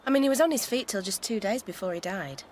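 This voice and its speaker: Female actor for radio play: candid Victorian village lass, mid-pitched, chatty and unaffected, faint background noise. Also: lively intonation, quick pace, a little mellow, close to an everyday voice. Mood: candid, helpful. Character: plain-spoken, friendly Victorian village young woman. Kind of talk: radio drama dialogue.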